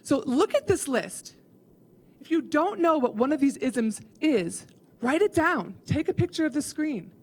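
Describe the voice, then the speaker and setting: Female keynote speaker, emphatic and instructive, slightly higher voice. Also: big pitch swings, unhurried. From Chicago, USA. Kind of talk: keynote talk. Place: conference hall.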